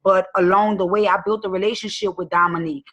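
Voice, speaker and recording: A woman calling in from bed at home, giving a lively, folksy testimonial over the phone. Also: medium pitch, fast. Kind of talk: casual testimonial. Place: in bed in a dark room at home.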